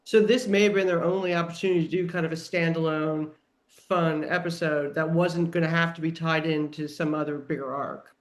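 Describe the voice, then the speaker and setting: A roomy, echoey recording of a high-pitched male voice. He is a podcast panelist talking casually and in a measured, conciliatory manner. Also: little pitch movement.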